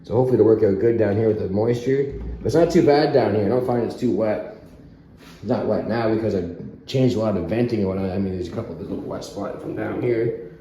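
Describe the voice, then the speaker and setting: Hoarse man rambling in an echoey basement. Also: fairly low pitch, fast talker, slight background noise. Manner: relaxed, rambling, chuckles often. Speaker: vlogger. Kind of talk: casual walkthrough chat. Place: home basement under renovation.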